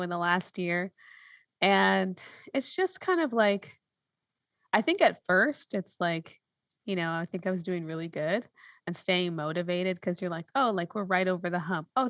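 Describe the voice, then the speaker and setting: Low-pitched candid woman, dry sound. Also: a bit bright, dry recording. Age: 30s. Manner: candid, informal. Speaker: podcast host. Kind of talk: casual chat.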